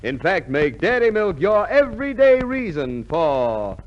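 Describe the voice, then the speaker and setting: A man's voice: high voice, big pitch swings, measured pace. A man reading a scripted 1950s radio advertisement as commercial announcer. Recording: dull vintage radio recording, faint noise.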